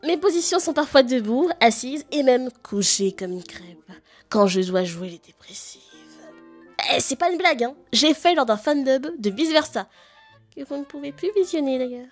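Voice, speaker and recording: A woman who does fan dubbing, answering in a jokey, lively way. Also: high voice, normal speed, soft background music. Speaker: fandub voice actress. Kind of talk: casual recorded answer.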